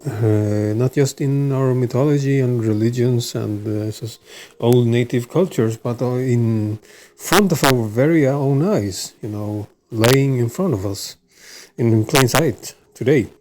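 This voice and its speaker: A man from Sweden speaks in Swedish-accented English as he hosts his own video channel. His talk is loose and unscripted, full of pauses and "uh"s, and he restarts sentences as he goes. His voice sits at an ordinary middle pitch for a man. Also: darkish tone. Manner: halting, many filler sounds and restarts. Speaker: channel host. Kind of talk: casual monologue to camera.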